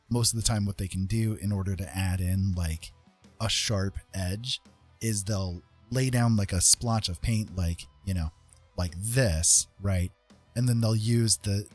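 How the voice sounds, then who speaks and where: A man giving a casual live-stream painting tutorial in an informal, self-deprecating way, with a husky voice. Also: lowish voice. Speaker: art streamer and author. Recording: soft guitar music behind voice. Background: guitar music.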